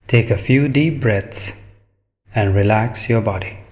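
A man leading a guided meditation in a calm, measured manner, speaking in short phrases with long pauses. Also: on the low side.

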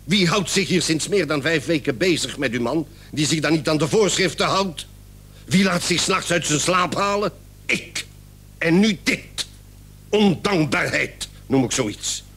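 Husky, scolding doctor. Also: male voice, on the high side, unhurried, fairly bright tone, exaggerated voice. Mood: indignant. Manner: pompous, scolding. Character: irritable, indignant male doctor, self-righteous and scolding. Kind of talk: radio play acting.

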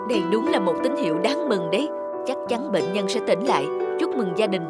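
A woman voicing a courteous older hospital doctor in an animated drama, speaking formally and in a measured way. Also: mid-range voice, over loud music, lightly acted. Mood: reassuring.

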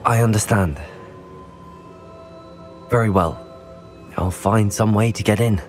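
A man acting as a level-headed, polite young student wizard hero in a video game, measured and formal. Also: on the low side. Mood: respectful, purposeful. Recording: music behind the voice.

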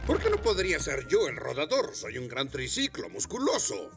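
A man voicing a big, muscular construction trike dad, gruff but amiable, in an animated children's dub. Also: mid-range voice, moderate pace. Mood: earnest. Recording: cartoon music behind.

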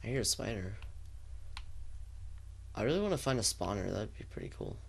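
A teenage boy hosting a casual gameplay commentary, chatty and excitable. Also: on the low side, brisk, creaky, a little hiss or hum. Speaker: channel host playing a game.

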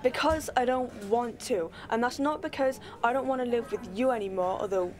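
A woman's voice: on the high side, normal speed, a little mellow, natural voice. A young woman playing a frustrated grown-up daughter who argues for her independence in radio-play drama. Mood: exasperated. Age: young adult. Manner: exasperated, argumentative. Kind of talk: low-key dramatic radio-play dialogue.

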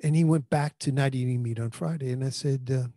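New pastor preaching into handheld mic. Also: male voice, medium pitch, fairly quick, dark tone, no room sound, studio quality. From New York. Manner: earnest conversational preaching with frequent restarts. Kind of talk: sermon. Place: small indoor room. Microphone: handheld microphone.

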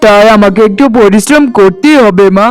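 A man voicing a tired, gentle middle-aged farmer father in a cartoon, weary and fatherly. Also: sits high, moderate pace, clean tone, soft background music, close to an everyday voice. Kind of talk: cartoon voice acting.

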